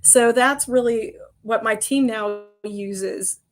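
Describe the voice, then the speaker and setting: A woman's voice: on the high side, slow pace. A woman guest on a construction podcast, with a commanding voice, speaking emphatically and laughing lightly between points. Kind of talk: podcast interview answers.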